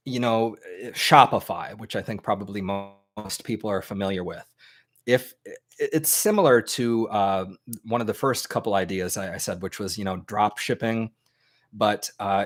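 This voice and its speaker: An American man, a native English speaker, hosting an informal advice talk to a live chat, with plenty of ums and restarts. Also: fairly low pitch. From United States. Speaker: host.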